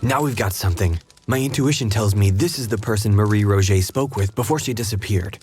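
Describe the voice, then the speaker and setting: An actor playing a confident detective who lays out plans in a steady, decisive voice. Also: male voice, fairly low pitch, a little fast, a little hiss or hum, lightly acted. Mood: determined. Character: calm male detective, confident and methodical. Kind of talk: game cutscene voice acting.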